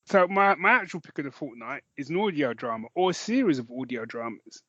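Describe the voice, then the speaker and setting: A man's voice: fairly high pitch, lively intonation, fairly quick, a bit bright, no room sound. Jokey man, dry dull recording. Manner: jokey, self-mocking, sweary. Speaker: podcast co-host. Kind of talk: casual chat, recommending audio dramas.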